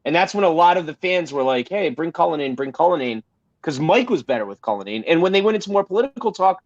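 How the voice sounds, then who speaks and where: A man's voice: on the high side, expressive, fast talker. A man co-hosting a casual podcast, talking in an emphatic, rambling way and swearing freely. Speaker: podcast co-host. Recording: roomy, slightly dull sound.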